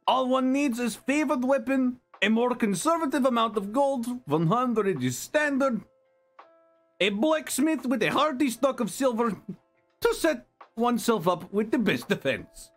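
Male performer as boastful fantasy barbarian, broken English, third-person storytelling, very high pitch, proud. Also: expressive, measured pace, soft background music, heavily acted. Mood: instructive, self-assured. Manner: clipped broken grammar, self-important third-person storytelling. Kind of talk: in-character reading of a fantasy newspaper column.

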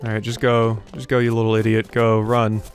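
A man's voice: on the low side, completely flat delivery, a little fast. A man giving casual let's play commentary, thinking aloud as he plays. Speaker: gaming video host. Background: game music.

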